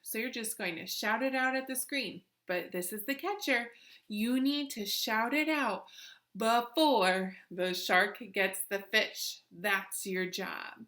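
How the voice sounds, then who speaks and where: Lady teacher doing an online kids lesson, bright clear-cut voice, takes it slow, encouraging and asks lots of questions. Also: on the high side. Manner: encouraging, simple instructional phrasing with questions and repeats. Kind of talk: interactive children's lesson, addressing viewers through the screen.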